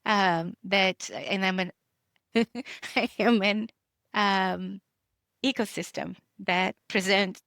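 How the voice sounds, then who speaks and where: A woman's voice: mid-range voice, fairly even intonation, slow, gravelly, fairly bright tone, no room sound, clean studio sound. A middle-aged woman, an author and educator, talking casually with many fillers and restarts. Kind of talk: casual conversation.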